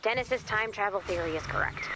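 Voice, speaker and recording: An actress playing a brisk, wry, easily exasperated woman in command of a base in an animated video game, with dry sardonic delivery. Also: medium pitch, very noisy, lightly acted. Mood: businesslike. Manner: dry, sardonic, hesitant when flustered.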